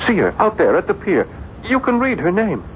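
An actor playing a tormented gentleman in a 1970s radio drama, pleading and agitated, insistent that an evil spirit is after him. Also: high-pitched, huge pitch swings, a little fast. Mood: anxious, insistent. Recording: muffled, very noisy 1970s radio recording.

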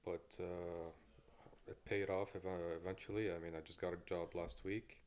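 Deep-voiced easygoing young man from Kuwait. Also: little pitch movement, moderate pace, a little mellow. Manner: easygoing, laid-back, with frequent fillers and short laughs. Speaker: podcast guest. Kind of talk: casual interview chat.

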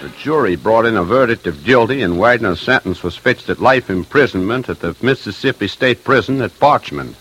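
A man's voice: lowish voice, expressive, brisk, hardly any pauses, a bit bright, husky, faint music behind, poor audio. A man reading a formal, matter-of-fact official radio bulletin. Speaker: bulletin reader.

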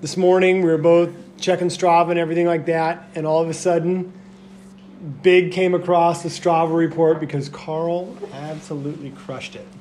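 Chatty, slightly high-voiced male podcast host. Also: flat delivery, moderate pace, darkish tone, slight background noise. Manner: chatty, full of "like" fillers. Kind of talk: casual podcast chat.

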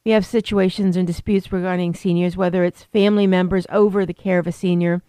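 A woman's voice: lowish voice, level tone, fast, dark tone, clear, resonant tone, no echo. A woman attorney explaining elder law on a radio talk show, rambling with many fillers and restarts. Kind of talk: radio interview.

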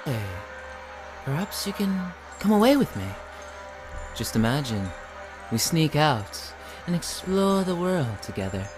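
A man's voice: on the high side, big pitch swings, measured pace. Man acting earnest young fantasy apprentice, music behind. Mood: eager. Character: earnest, ambitious young apprentice healer, loyal and bold. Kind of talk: audio fantasy drama acting.

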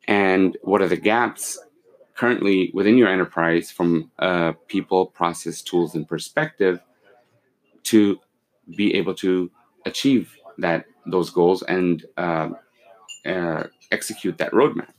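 CEO guest, forties, very low voice, steady and measured, filler-heavy. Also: male voice, poor audio. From born in Pakistan, raised in London and Kuwait. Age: 40s. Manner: measured, with many fillers and restarts. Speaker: company CEO, podcast guest. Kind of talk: casual interview, explaining business.

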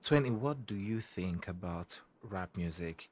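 A Nigerian man interviewing a guest, asking loose questions in a relaxed way and stumbling over his words. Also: low-pitched, measured pace.

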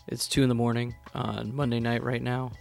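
A man hosting a casual podcast interview, his voice gravelly and full of vocal fry. He talks with easy enthusiasm and keeps agreeing eagerly with his guest. Faint music sits behind him. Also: medium pitch, level tone, normal speed. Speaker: podcast host.

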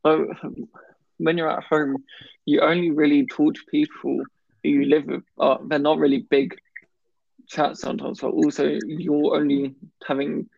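A man's voice: on the high side, flat delivery, moderate pace, gravelly, a little mellow, no room sound, dull audio. A man in casual conversation who stammers, blocking on some words and often restarting. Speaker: guest talking about his stammer. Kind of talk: casual chat.

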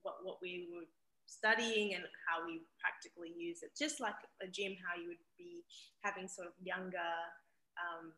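A woman's voice: lowish voice, fairly even intonation, normal speed, bright, forceful tone, poor audio. A young woman with a mixed Zimbabwean and Australian accent, giving reflective conversational interview answers peppered with "you know". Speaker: personal trainer, interview guest.

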